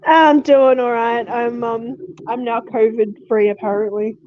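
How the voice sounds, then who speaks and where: Croaky woman streamer, sick. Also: a little high, fairly even intonation, measured pace, a little hiss or hum. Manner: chatty, laughs often, swears casually. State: croaky voice from a cold. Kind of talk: casual live stream chat. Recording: dull lo-fi sound.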